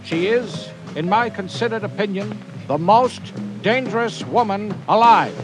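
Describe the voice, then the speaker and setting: High-pitched, self-important alarmist man. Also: lively intonation, unhurried, over music. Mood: alarmed, emphatic.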